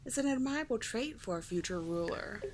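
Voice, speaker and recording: A woman voicing a calm, teasing sea witch with soothing, formal endearments in a scripted ASMR roleplay. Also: fairly high pitch, fairly quick, a little hiss or hum, lightly acted. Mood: courtly, reassuring. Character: calm, courtly, teasing sea witch, gentle yet slightly monstrous.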